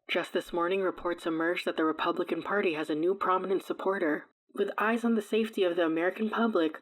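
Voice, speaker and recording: A woman's voice: fairly low pitch, a bit bright, low-quality recording. A woman playing a television news anchor in a drama, reading scripted news in a formal, composed way.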